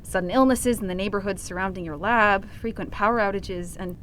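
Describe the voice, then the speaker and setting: A woman's voice: mid-range voice, a little fast, a bit bright, a little hiss or hum, natural voice, rough recording. A woman playing an earnest, flustered good-cop reporter with a hesitant, exasperated manner in a fiction podcast. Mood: probing. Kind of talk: audio fiction podcast acting.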